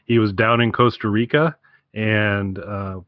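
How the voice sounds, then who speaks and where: A man's voice: on the low side, steady tone, unhurried, no echo, narrow, tinny audio. A man co-hosting a podcast, chatting casually in a rambling, wry way. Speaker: podcast co-host.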